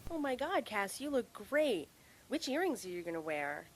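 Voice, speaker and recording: A woman's voice: mid-range voice, very animated, moderate pace, slight background noise. A young woman playing a rebellious, sarcastic teenage girl arguing with her strict father. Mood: bubbly. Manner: sarcastic, defiant.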